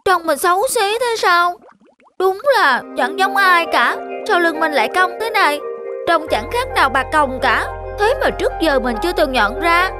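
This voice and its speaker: Casting: mopey, self-pitying female shrimp, very high-pitched, children's cartoon with music. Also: lively intonation, normal speed, pushed and bright, exaggerated voice. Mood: dejected. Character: insecure, mopey female shrimp with hunched back.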